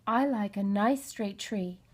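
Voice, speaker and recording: A woman voicing an inquisitive, particular mother in a children's storybook, measured and gently emphatic. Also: medium pitch, breathy edge.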